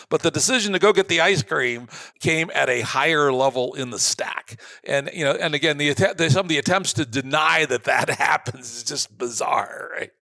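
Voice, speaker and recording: A man's voice: on the high side, gravelly, bright, forceful tone, dry recording. A man hosting a podcast interview, chatting informally and illustrating ideas with homey examples. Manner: folksy, uses homey examples. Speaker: podcast host. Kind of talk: conversational interview.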